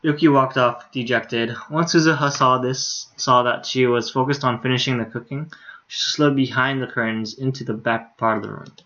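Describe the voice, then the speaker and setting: A man's voice: mid-range voice, fairly even intonation, normal speed, bright, forceful tone. A man with a mid-range voice reads a visual novel aloud as a game streamer. His delivery is casual and unpolished, and the stream audio is a little dull and lo-fi. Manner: casual, stumbles over words, swears in asides.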